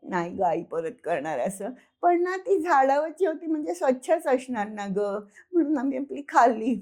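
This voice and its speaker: A woman voicing a guilty schoolgirl with a bellyache, pleading in a drawn-out whine for a children's story. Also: high-pitched, big pitch swings, a little mellow.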